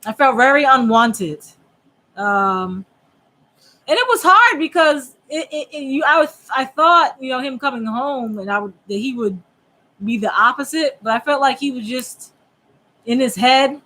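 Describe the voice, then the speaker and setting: A woman co-hosting a candid chat with her husband, speaking openly and reflectively, often pausing to search for words. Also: sits high, lively intonation, sharp tone, rough recording. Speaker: podcast co-host.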